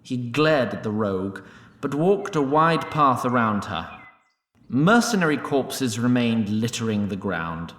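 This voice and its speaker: A man's voice: mid-range voice, very animated, unhurried, a bit bright, echoey room. A man narrating a scripted fantasy tale in a theatrical, mock-grand and droll storyteller's manner.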